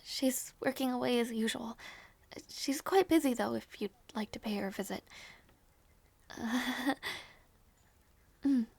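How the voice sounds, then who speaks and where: A woman playing a gentle, anxious young princess in an intimate audio roleplay drama, speaking softly and haltingly. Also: a little high, gravelly, breathy edge, no echo, heavily acted. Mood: hesitant, anxious. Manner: soft, hesitant, halting delivery.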